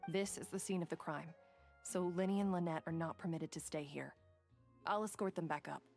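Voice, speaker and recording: Stern female game official, lowish creaky voice, faint music behind. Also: fairly even intonation, a little fast, darkish tone. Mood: strict. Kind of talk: video game dialogue acting.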